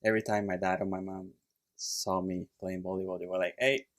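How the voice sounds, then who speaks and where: A man from Puerto Rico with a Puerto Rican accent, a chiropractor guest telling his story in a casual interview, relaxed and plain with fillers. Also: sits low, brisk, dry recording, clean studio sound. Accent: Puerto Rican Spanish.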